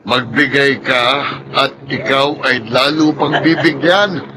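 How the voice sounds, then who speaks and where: Actor as flattering crony; slow, wheedling, chuckling, background noise audible. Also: male voice, medium pitch, lightly acted. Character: flattering laughing buddy of the boss. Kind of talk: radio drama acting.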